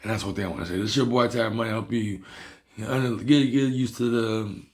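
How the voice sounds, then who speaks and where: A man from Barbados with a Bajan accent, hoarse from losing his voice, explaining a topic in a rambling, repetitive casual monologue as a video host. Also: on the low side, quick pace. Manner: rambling, repeats himself and restarts words. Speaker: hoodoo practitioner and video host.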